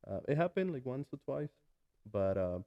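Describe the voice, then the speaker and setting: A man in his early thirties from Mexico with a Mexican Spanish accent, a guest talking casually in an interview with many pauses and restarts. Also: medium pitch, very animated, lo-fi sound. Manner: hesitant, many fillers and restarts. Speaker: guest, food truck owner.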